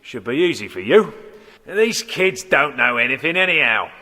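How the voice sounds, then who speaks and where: An actor playing a menacing crooked gang boss in a children's audio drama, gravelly and sneering as he barks orders. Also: medium pitch, big pitch swings, normal speed, pushed and bright. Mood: smug, scornful. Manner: bullying, barking orders, sneering. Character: menacing crooked dog-thief gang boss, adult male. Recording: echoey hall reverb.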